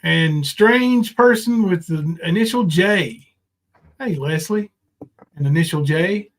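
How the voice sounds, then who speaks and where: A man's voice: on the high side, takes it slowly. A man hosting a live panel stream, chatting casually in a folksy, rambling way. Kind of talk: casual livestream chat.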